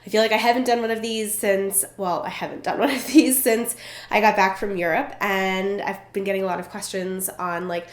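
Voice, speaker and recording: A woman's voice: mid-range voice, fairly even intonation, moderate pace. A young woman with a stuffed-up, congested voice chatting casually to camera as a vlogger, bubbly and full of fillers, from Vermont. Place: indoors at a relative's home. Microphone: camera in front while seated. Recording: roomy echo.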